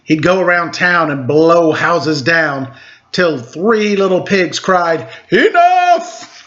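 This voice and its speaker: A man's voice: a little high, slow, a bit bright. A middle-aged man chatting casually and reading stories aloud to children in a playful, jokey manner. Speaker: storytime host reading picture books. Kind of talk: casual chat and read-aloud storytelling to children. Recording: dull, lo-fi sound.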